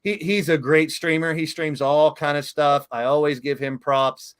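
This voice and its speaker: A man's voice: a little high, fairly even intonation, moderate pace, fairly bright tone, smooth. A middle-aged man hosting a talk show interview, chatting casually in an enthusiastic, emotional, rambling way.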